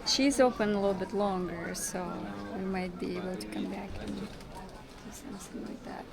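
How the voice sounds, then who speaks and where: Female vlog co-host, medium pitch, informal browsing chatter, outdoors. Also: steady tone, moderate pace, creaky. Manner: informal, thinking aloud. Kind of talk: casual chat while browsing shops.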